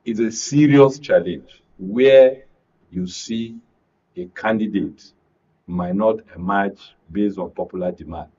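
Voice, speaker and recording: A man with a Nigerian accent commenting on politics as a panel guest, deliberately, in short broken phrases. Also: lowish voice, mellow, slightly rough. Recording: dull lo-fi broadcast audio.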